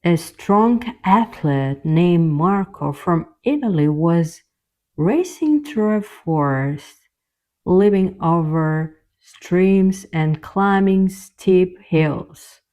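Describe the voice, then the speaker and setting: A woman narrating a scripted children's fairy tale as the storyteller, in a gentle, measured way. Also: on the low side, lively intonation.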